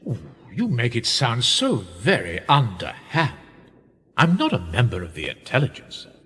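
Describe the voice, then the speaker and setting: Radio play: man playing a worldly establishment power broker, suave and patronising, lively inflection, cavernous echo. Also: medium pitch, moderate pace, breathy edge, heavily acted. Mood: sly, assured. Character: smug, worldly male powerful establishment insider, pedantic and condescending. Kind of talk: radio drama dialogue. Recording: long reverberant hall, music underneath. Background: music.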